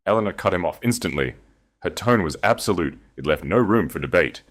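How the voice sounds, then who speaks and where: A man's voice: low-pitched, expressive, a little fast, a bit bright. A man narrating a romance audiobook in an intense, dramatic storytelling manner.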